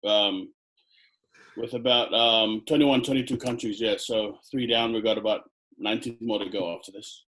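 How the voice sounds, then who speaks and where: A middle-aged man from Fiji who grew up in New Zealand, answering interview questions slowly with many ums, over a video call headset. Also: fairly low pitch, fairly even intonation, a bit bright, low-quality recording. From Fiji, raised in New Zealand. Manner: hesitant, many ums and uhs. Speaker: chiropractor guest. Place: indoor room on video call.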